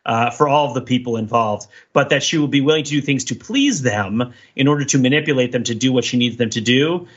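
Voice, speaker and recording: A man's voice: mid-range voice, a little fast, few pauses, muffled recording. A man hosting a podcast, giving casual but analytical commentary on a TV show in long, emphatic, speculative stretches. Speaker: podcast host.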